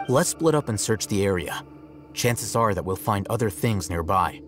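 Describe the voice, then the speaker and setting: An actor playing a cool, analytical young scholar in a video game, speaking in a measured, precise, detached way. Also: male voice, lowish voice, animated, over music, close to an everyday voice.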